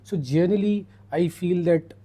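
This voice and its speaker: A man's voice: sits high, normal speed, warm tone. A man, hosting a conversational interview as an education consultant.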